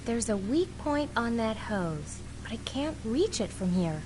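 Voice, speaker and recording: A woman's voice: a little high, big pitch swings, measured pace, background noise. A woman playing a plucky, wry teenage girl adventurer in a video game, musing aloud. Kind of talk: video game character dialogue.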